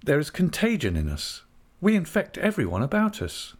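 A man playing an earnest young husband in an audiobook, gently coaxing and lecturing with grave reason. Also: a little high, wildly swooping pitch, normal speed, husky, pitched above the performer's own voice, heavily acted. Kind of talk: audiobook character voice.

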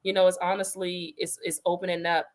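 A woman in her thirties with a lowish voice, speaking as an interview guest. She talks in a loose, animated way, laughs easily and fills pauses with ums and you-knows. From Washington, D.C. area. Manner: animated, laughs often, many fillers and restarts. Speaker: guest, sports media personality. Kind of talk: casual interview conversation.

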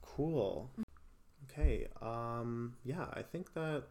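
A man's voice: mid-range voice, drawn-out words, darkish tone. A man hosting a casual podcast chat, talking loosely with lots of fillers and a ready laugh. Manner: chatty, filler-heavy, laughs easily. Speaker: podcast host.

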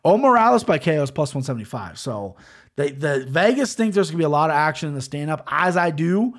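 A man's voice: fairly high pitch, big pitch swings, quick pace. A man breaking down fight betting lines in a casual way. He is brash and profane, and he rambles. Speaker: sports betting picks host.

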